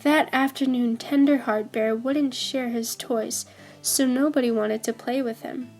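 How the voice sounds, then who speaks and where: High-voiced woman reading a picture book. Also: fairly even intonation, measured pace, a little mellow, soft background music. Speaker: volunteer storybook reader. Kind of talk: children's picture book read-aloud.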